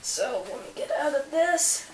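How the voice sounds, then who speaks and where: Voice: high-pitched, sing-song, a little slow. Echoey, enthusiastic casual vlogger voice. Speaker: cosplay vlogger showing homemade armor. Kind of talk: casual show-and-tell chat. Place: indoor room, echoey. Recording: echoey room with faint background noise.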